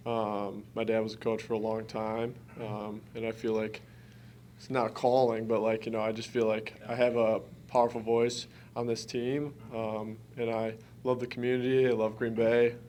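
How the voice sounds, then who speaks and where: A man's voice: lowish voice, completely flat delivery, normal speed, darkish tone, a little hiss or hum. A young man from Wisconsin, a college basketball player, answering press conference questions in an earnest, informal way. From Wisconsin, United States. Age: early twenties.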